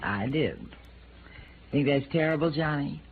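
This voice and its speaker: On a tinny vintage radio recording, a flirtatious noir widow. Her pitch swoops up and down as she teases and needles, languid, brazen and a little tipsy. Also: animated, a little slow, a little hiss or hum. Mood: flirty, brazen. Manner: languid, teasing, provocative. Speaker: supporting actress in a radio drama. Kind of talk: 1950s radio noir acting.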